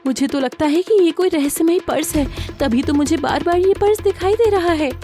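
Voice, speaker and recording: A woman's voice: extremely high pitch, normal speed, warm tone, faint music behind, voice pushed up. A woman voicing a poor, honest, kind-hearted young woman who speaks earnestly and emotionally.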